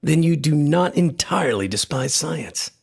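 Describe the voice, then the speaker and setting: An actor playing a skeptical friend who narrates a radio horror tale, with a gravelly, husky voice and a dry, measured manner. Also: male voice, fairly high pitch, lively intonation, exaggerated voice. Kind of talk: radio drama narration and dialogue.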